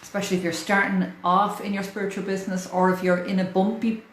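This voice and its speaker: A woman's voice: mid-range voice, level tone, fast. A woman in her forties with an Irish accent, hosting a casual livestream, chatty and laughing often. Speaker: host. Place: hot room in a house. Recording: echoey room with faint fan noise. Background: fan running.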